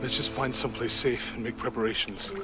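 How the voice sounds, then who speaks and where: Actor doing big calm steadfast guy in a game, measured, reassuring, music behind. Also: mid-range voice, lightly acted. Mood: calm, solemn. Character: big, calm, steadfast, spiritual male survivor. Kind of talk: video game cutscene acting.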